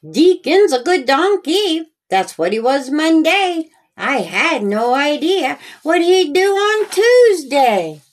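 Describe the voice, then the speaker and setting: A woman's voice: high-pitched, sing-song, slow pace, hardly any pauses. A woman hosting a storytime and reading her own children's story aloud, speaking simply and directly to young listeners. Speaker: children's story author and reader.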